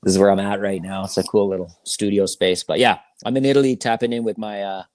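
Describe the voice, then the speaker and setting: A man's voice: on the low side, steady tone, fast talker, darkish tone, no echo. A man chatting casually as a musician guest in an interview, with laid-back, slangy phrasing. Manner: laid-back slangy talk, frequent "you know".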